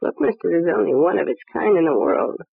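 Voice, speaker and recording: A woman's voice: fairly low pitch, animated, fairly quick, vocal fry, husky, dry recording, exaggerated voice. A brassy, cynical showgirl in a vintage radio crime play. She is defiant and wisecracking, full of slangy sarcasm. The recording is narrow and phone-like, very dry in its acoustics. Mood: bitter, guarded. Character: brassy, sardonic jilted showgirl, defiant under questioning. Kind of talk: old-time radio crime drama acting.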